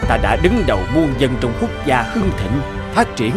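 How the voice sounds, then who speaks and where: A man acts as a middle-aged warrior king from an animated fairy tale, proud yet homesick and full of regret. His voice has an average male pitch and moves in lively swells, solemn and reflective. Music plays clearly behind him. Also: moderate pace, natural voice. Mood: wistful, regretful. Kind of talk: cartoon fairy-tale dubbing.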